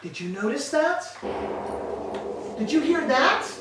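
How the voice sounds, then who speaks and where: A woman's voice: high-pitched, very animated, takes it slowly, heavily acted. A woman playing a grief-stricken aged queen in a staged Greek tragedy, lamenting in declamatory style. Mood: anguished. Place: church, live staged reading. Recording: echoey hall, distant mic, background noise.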